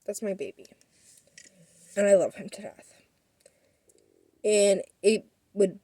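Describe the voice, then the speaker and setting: Young woman vlogging, sniffly, slow and rambly, dry close sound. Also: medium pitch, expressive, mellow, husky, dry recording. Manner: rambling and defensive, many fillers. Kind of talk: personal story to camera.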